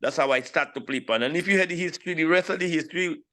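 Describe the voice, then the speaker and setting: A man in his sixties with a St. Lucian accent, telling his story casually as an interview guest, animated and repeating phrases for emphasis. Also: on the high side, fast talker. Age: 60s. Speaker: interview guest, steel pan player.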